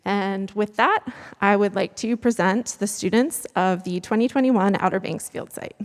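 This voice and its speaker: A woman's voice: medium pitch, moderate pace, long reverb tail. A woman giving a formal introduction of a student group, in an announcing manner. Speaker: program presenter.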